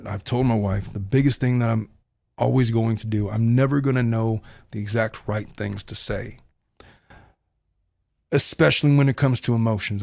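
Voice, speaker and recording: A man's voice: medium pitch, gravelly, a little mellow, no echo. A middle-aged man hosting his own podcast as a family law attorney, talking bluntly and earnestly in a long, rambling monologue.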